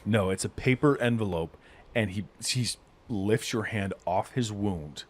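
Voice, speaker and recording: A man's voice: on the low side, measured pace. A man narrating a tabletop game as the game master.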